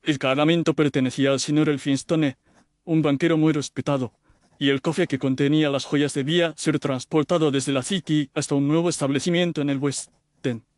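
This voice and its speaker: A man's voice: fairly high pitch, fairly even intonation, a little fast, a little mellow, dry recording, clean studio sound, pitched above the performer's own voice, lightly acted. Man as anxious, earnest young Victorian clerk. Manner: formal, deferential, pleading. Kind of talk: audio detective story dialogue.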